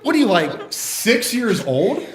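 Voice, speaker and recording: A stubborn, wisecracking man of about thirty, voiced by a woman, with a very lively pitch. The recording sounds echoey, with faint background noise. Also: slow pace. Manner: sarcastic, wisecracking. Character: stubborn, sarcastic thirty-year-old man.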